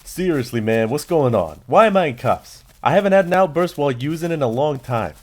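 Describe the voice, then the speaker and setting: A man acting a burly, slow-witted mercenary gunner who uses drugs, in a sci-fi audio drama. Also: fairly high pitch, very animated. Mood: dazed, bewildered. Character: dim burly drug-using male mercenary gunner, confused.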